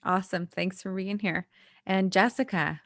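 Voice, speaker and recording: A woman's voice: mid-range voice, fairly even intonation, normal speed, a bit bright, no room sound, clean studio sound. A woman moderating an online panel with an upbeat, scripted delivery and gushing greetings. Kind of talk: hosting an online panel discussion.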